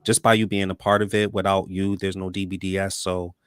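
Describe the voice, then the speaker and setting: A man hosting a talk show, upbeat and warm with his guests, often repeating words as he starts sentences. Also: sits low, flat delivery, fairly quick, smooth, dry recording, clean studio sound. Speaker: host.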